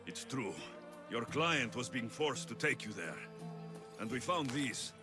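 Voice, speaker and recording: An actor voicing a confident, protective mercenary in a video game, firm and direct. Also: male voice, mid-range voice, lively intonation, a little slow, close to an everyday voice. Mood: grave. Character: confident Greek mercenary man, protective, blunt, calm. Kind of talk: video game cutscene acting. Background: background music.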